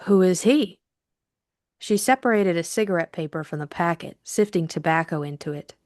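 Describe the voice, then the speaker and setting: A woman reading literary fiction as an audiobook narrator, measured and deliberate in her storytelling. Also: lowish voice, dry recording, clean studio sound.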